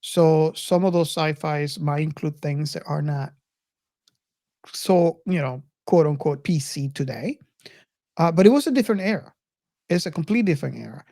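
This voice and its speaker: Female podcast host, middle-aged, low register, halting reflective delivery, casual movie talk, dry sound. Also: animated, warm tone, no room sound. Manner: reflective, halting, circles back over phrases. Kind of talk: casual film discussion.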